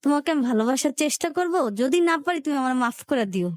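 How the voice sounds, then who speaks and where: A woman's voice: sits high, animated, fairly quick, dry recording, studio quality. A woman in her twenties with a Bangladeshi accent, telling her life story as a guest in colloquial speech, re-enacting what people said as she goes. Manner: colloquial, re-enacts reported dialogue as she narrates. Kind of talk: personal storytelling in an interview.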